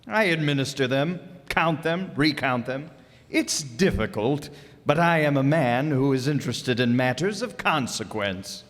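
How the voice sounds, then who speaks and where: A man's voice: medium pitch, lively intonation, unhurried, close to an everyday voice. A man playing a pompous, curt, fussy middle-aged businessman in a radio play. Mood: pompous, irritated. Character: pompous, brusque, greedy middle-aged businessman counting stars. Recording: echoey hall reverb.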